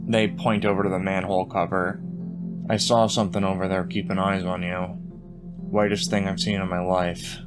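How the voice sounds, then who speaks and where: A man playing a tabletop roleplaying game, speaking in character with a deadpan manner. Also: low voice, normal speed, loud music behind the voice. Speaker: guest tabletop game player.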